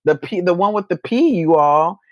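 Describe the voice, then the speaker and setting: A woman's voice: lowish voice, moderate pace, dry recording, dull audio. A middle-aged woman with an American accent chatting casually about books as a co-host, emphatic and repeating herself. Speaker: co-host of a book review show. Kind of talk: casual book chat.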